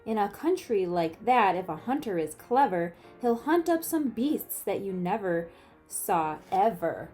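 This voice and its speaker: Eager boastful young boy, voiced by a woman, singsong storybook verse, soft warm tone, faint music. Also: medium pitch, very animated, a little slow.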